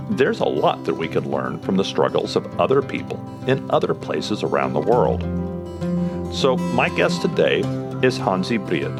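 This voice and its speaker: An American man hosting a podcast as a police chaplain, reading an earnest intro and then interviewing his guest. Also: low voice. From United States. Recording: music behind the voice. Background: guitar music.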